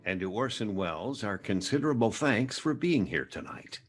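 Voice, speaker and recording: An actor playing a formal, measured announcer on 1940s network radio. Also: male voice, lowish voice, animated, soft background music, lightly acted.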